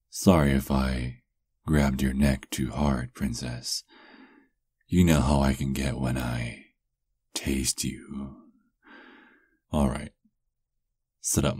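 A man playing a doting, possessive vampire lover in an intimate ASMR roleplay, murmuring softly and coaxingly. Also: very deep voice, flat delivery, slow, long pauses, slightly rough, no echo, exaggerated voice. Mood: tender, coaxing.

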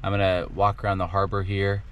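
A man's voice: low voice, completely flat delivery, brisk, a bit bright, slight background noise. A man in his thirties, a birding vlogger, calling out bird names in short casual remarks as he walks a rainy coast. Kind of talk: casual on-location narration. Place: outdoors along a rainy coast.

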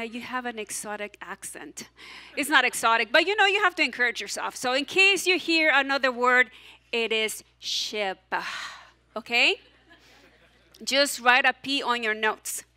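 A woman pastor in her forties with a non-native English accent, preaching a sermon from a church stage in an animated, encouraging way and prompting the congregation to repeat after her. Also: a little high. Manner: animated, encouraging, audience call-and-response. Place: church stage, large hall.

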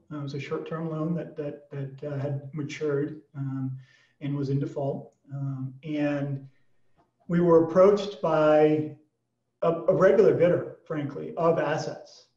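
An American man in his fifties answering interview questions conversationally, with halting false starts and asides. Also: on the high side, mellow, muffled recording. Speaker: auction executive, podcast guest. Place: small plain room indoors. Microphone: computer mic on video call. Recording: boxy, echoey video call, distant mic.

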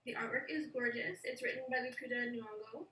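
A young woman, an early childhood educator, reading a picture book aloud to children over a video call from a room at home, gentle and expressive. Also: fairly high pitch, fairly quick, a little hiss or hum. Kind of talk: read-aloud storytelling for children. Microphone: video call from a laptop. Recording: echoey room, distant laptop mic.

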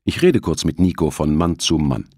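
A man voicing a stern, calm father who speaks in terse commands, in an audiobook. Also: rumbling low, normal speed, husky, no echo, clean studio sound, exaggerated voice. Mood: calm, firm.

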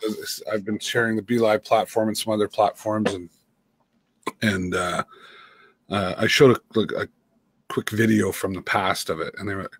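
A middle-aged man co-hosting a casual video call, talking with animated gestures and frequent restarts. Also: lowish voice, moderate pace, slightly rough, no room sound. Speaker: co-host and teacher. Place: home room with framed pictures. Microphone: webcam mic on a video call.

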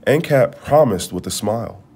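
A man reading a children's storybook aloud, with measured, expressive phrasing. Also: mid-range voice, husky. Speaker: storybook reader. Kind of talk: read-aloud storybook narration.

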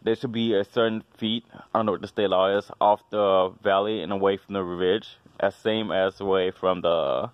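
A man's voice: low-pitched, fairly even intonation, moderate pace, hardly any pauses, clear, resonant tone, no room sound, dull audio. A man who is a house inspector and HVAC tech, giving a casual, rambling walkthrough with offhand, dismissive asides, filmed on a handheld phone outdoors beside a house and in a basement utility room. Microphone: handheld phone camera.

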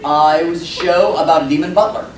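A man's voice: on the high side, quick pace. A male voice actor on a convention panel, telling comedic stories and acting out the dialogue. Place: convention panel room with audience. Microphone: table microphone, recorded by far camera. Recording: roomy hall, distant mic, noisy crowd.

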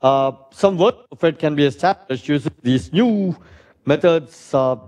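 A middle-aged man with a loud voice giving a physics lecture in a lecture hall, thinking aloud with many fillers and "right?" tags. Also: fairly high pitch, a little slow. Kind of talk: academic lecture.

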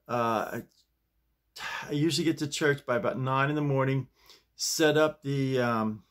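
An American man in his sixties chatting casually to camera in a halting, digressive way, often repeating his phrases. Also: fairly high pitch, a bit bright. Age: 60s. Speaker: vlogger. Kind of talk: rambling casual chat to camera. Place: small home office, indoors.

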